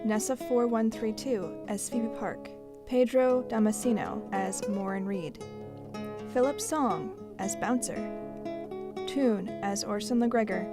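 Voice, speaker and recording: A woman's voice: fairly high pitch, measured pace. A woman reading scripted credits as an announcer. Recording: voice under loud guitar music. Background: loud music with plucked guitar.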